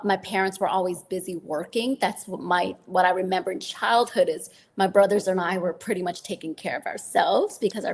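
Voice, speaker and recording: Raspy woman w a bit of fry, chatty guest, lots of laughs and restarts. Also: on the low side. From born in the Philippines to Vietnamese parents. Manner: warm and laughing often, rambling restarts. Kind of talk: interview storytelling.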